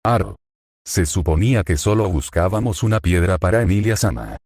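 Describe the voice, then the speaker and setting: A man's voice: low-pitched, animated, moderate pace, darkish tone, no room sound, clean studio sound. A man reading the lines of a complaining, put-upon young merchant. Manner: whiny, complaining.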